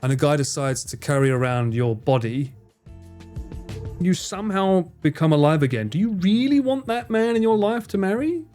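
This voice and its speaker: A man's voice: high-pitched, lively intonation, normal speed, a little mellow, faint music behind. A young man streaming live, chatting with his viewers and swearing and joking as he plays a game. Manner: sweary, jokey, talks to chat and laughs often. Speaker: livestreamer. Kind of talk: live stream chat while gaming.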